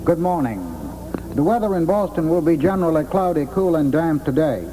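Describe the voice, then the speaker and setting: A man's voice: a little high, animated, fairly quick, mellow. A man giving a scripted weather forecast on an old radio feed with long, hollow reverberation.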